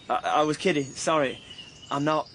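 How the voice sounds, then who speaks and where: An actor playing a cheeky working-class young dreamer in a radio drama, bantering in colloquial speech. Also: male voice, a little high, a little slow, fairly bright tone, slight background noise. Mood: playful, dreamy.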